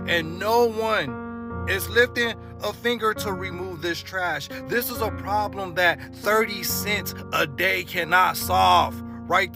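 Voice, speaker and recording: A man's voice: high voice, creaky. A man giving a sarcastic vlog commentary, firing off rhetorical questions. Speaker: vlogger. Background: music.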